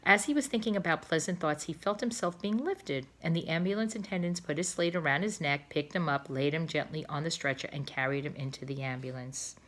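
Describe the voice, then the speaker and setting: A woman reading a children's storybook aloud in an expressive narrating style. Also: low voice, a little fast, hardly any pauses. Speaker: storybook reader.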